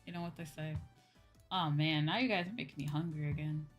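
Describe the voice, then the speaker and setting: A woman hosting a casual livestream, chatting with viewers in a drawn-out, exclamatory way and often repeating her phrases. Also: low-pitched, fairly even intonation, faint music behind. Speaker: livestream host.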